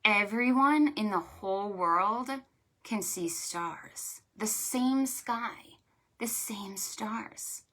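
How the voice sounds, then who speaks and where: Slow, bright-voiced woman leading preschool storytime. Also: medium pitch. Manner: sing-song, exaggerated delivery for small children; encouraging, asking questions and pausing for answers. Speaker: children's librarian hosting preschool storytime. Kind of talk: interactive storytime for young children, counting rhymes.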